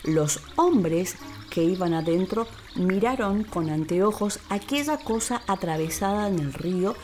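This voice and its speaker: A woman narrating a children's story in an expressive, deliberate, theatrical way. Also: on the low side, soft background music. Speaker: actress, storyteller.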